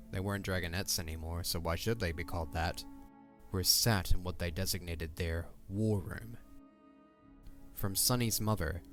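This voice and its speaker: A man narrating a fantasy audio drama from a script. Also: low voice.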